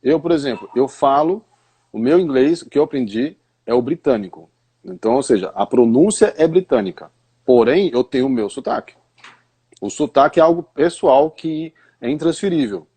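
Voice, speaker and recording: A man's voice: mid-range voice, expressive, normal speed, mellow, husky. A Brazilian man, an English teacher, explaining pronunciation in a live stream conversation, often asking rhetorical questions. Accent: Brazilian Portuguese.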